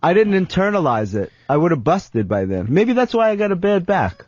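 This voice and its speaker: Husky, high-voiced American man arguing insistently. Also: very animated, a little fast, darkish tone, dull audio. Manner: insistent, defensive, repeats himself. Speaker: radio show guest. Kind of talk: casual argumentative banter.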